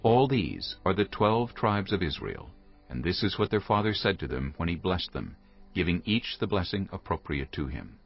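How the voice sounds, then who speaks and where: A man narrating scripture from a script in a measured, solemn manner. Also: deep voice, sing-song, creaky, slightly rough, soft background music. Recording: dull lo-fi audio.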